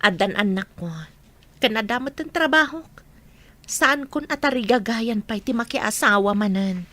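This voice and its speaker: A woman's voice: a little high, moderate pace, fairly bright tone. A woman playing a sorrowful, guarded single mother in a radio drama. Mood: wary.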